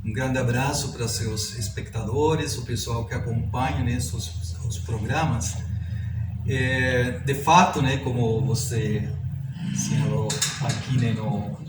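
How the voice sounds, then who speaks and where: A man in his sixties with a Chilean Spanish accent speaks as an interview guest, reflective and halting, often adding little tag questions. He is heard from a distance in an echoey room. There is clear noise behind him. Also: mid-range voice, steady tone. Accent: Chilean Spanish accent in Portuguese. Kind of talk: reflective autobiographical interview talk. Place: indoors at a publisher's office.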